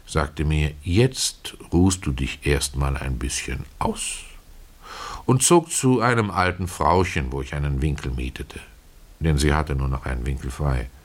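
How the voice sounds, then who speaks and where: A very low, bass male voice a poor, kindly Russian caretaker in a radio play. He tells his own story in a folksy, ruminative manner, pausing for rhetorical asides to his listener. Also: full character voice. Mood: wistful, resigned. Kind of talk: dramatic first-person literary storytelling, radio play.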